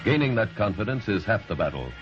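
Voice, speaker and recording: An American man giving solemn, deliberate, authoritative narration for an old radio crime drama. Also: on the low side, very animated. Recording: muffled old radio recording. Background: music.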